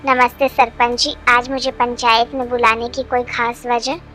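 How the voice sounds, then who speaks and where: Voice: medium pitch, little pitch movement, normal speed, bright, forceful tone, faint music behind, close to an everyday voice. A performer voicing a kind, honest village schoolmistress, composed and explanatory, in a cartoon.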